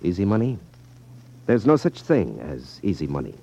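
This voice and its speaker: A dull 1950s radio recording of a hard-boiled male detective telling his case. The voice is deep, with wide, emphatic swings and a wry, knowing edge. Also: huge pitch swings, moderate pace, gravelly, loud music behind the voice, full character voice.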